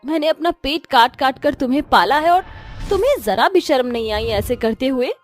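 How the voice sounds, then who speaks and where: A woman's voice: high voice, lively intonation, moderate pace, faint music behind. A woman voicing an emphatic, scheming daughter-in-law in a cartoon moral story. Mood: hurt, pleading. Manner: theatrical, emphatic. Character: scheming, cruel daughter-in-law, later a desperate, remorseful mother. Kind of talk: animated moral-story voice acting.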